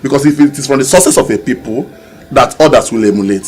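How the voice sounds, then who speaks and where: A man's voice: medium pitch, quick pace, a little mellow, slight background noise. A young man from Nigeria arguing earnestly on a televised panel, often restarting his sentences. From Anambra State, Nigeria. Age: young adult. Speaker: young political candidate. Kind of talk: panel discussion.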